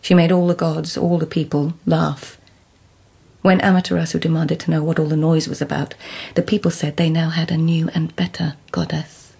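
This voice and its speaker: Low, husky, proud court lady. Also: little pitch movement, normal speed, dark tone, heavily acted. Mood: measured. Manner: formal, crisp phrasing, dry. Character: cool, astute, proud lady-in-waiting at imperial court. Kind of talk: radio drama acting.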